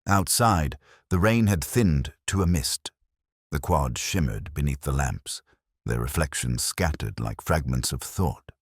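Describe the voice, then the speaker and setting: A man performing a weary detective narrating a literary radio drama. The voice is extremely low and rises and falls expressively, with a solemn, reflective delivery. The recording is close and dry. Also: lively intonation, unhurried, slightly rough, no room sound, heavily acted. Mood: somber, contemplative.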